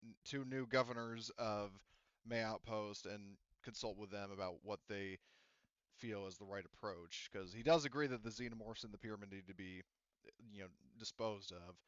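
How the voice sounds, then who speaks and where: A man's voice: fairly low pitch, fairly even intonation, moderate pace, a bit bright, clean tone, dry recording, lo-fi sound. A man running a tabletop roleplaying game, rambling along with lots of fillers and restarts. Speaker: game master.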